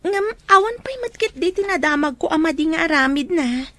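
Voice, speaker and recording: A woman's voice: extremely high pitch, animated, brisk, fairly bright tone, dry recording. A woman playing a modest, bashful young student and dutiful daughter in a Filipino radio drama. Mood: concerned. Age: young adult.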